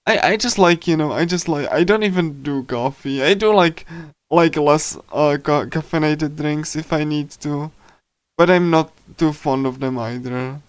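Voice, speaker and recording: A man with a fairly high, clean voice chats casually as a livestreamer. His delivery is hesitant and wandering, full of filler words, and the sound is close and dry. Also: unhurried, clear, resonant tone, no room sound. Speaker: livestreamer reading a visual novel. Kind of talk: casual rambling stream chat.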